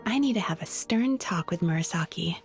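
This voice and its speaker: A woman voicing a gentle, playful young female therapist in a video game, with a soothing, teasing, polite manner. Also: lowish voice, expressive, normal speed, soft background music. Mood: mildly exasperated. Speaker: game character.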